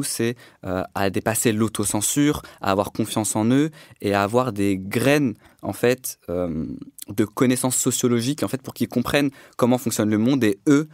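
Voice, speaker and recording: A man's voice: lowish voice, darkish tone, dry recording, clean studio sound. A man whose first language is not French, a public speaking trainer explaining his work earnestly as a podcast guest, with many fillers and restarts. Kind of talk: podcast discussion.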